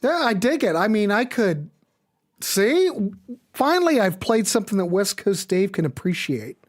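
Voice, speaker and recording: A woman's voice: medium pitch, big pitch swings, moderate pace. Folksy woman hosting a music show. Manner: folksy, chatty, thinking aloud. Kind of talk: casual off-the-cuff record show chat.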